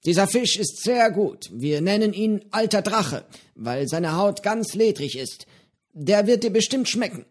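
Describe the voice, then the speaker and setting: Brief: old eccentric pirate, cheerful and easygoing, pitched high, as a male narrator puts him on. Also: animated, unhurried. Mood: jovial. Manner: easygoing, hesitant with fillers. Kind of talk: audiobook character voice.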